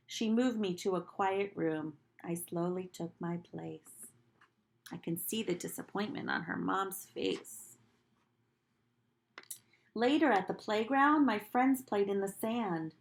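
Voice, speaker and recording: A woman reads a children's picture book at a slow, careful pace. Her delivery is animated and teacherly, made for small listeners, and her voice sits at a medium pitch. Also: vocal fry. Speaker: nursery school teacher reading aloud. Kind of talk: expressive picture-book read-aloud for young children, with asides.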